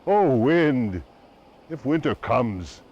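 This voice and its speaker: A man giving a dramatic poetry recitation in a solemn, declamatory manner with deliberate phrasing. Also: medium pitch, sing-song, dull audio, slight background noise. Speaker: poetry reader.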